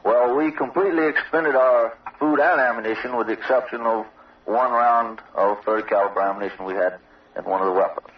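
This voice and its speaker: A man's voice: medium pitch, brisk. A man, an army sergeant, answering an interview in formal, matter-of-fact military phrasing. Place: outdoor observation post. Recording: dull, distant old radio field recording.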